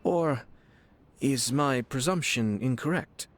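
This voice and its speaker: A man's voice: mid-range voice, close to an everyday voice. A man playing a polite, composed young lord in a video game, speaking formally with subtle teasing. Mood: composed, courteous. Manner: formal, measured, courtly speech. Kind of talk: scripted English game voice acting. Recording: background music under studio voice.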